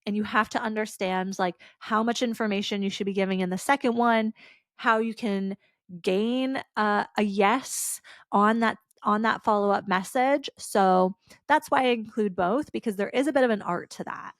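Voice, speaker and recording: A woman's voice: mid-range voice, normal speed, no room sound, studio quality. A woman hosting a solo podcast, talking casually with lots of "like" fillers, her voice stuffy and congested. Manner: chatty, casual, with frequent "like" fillers. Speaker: podcast host.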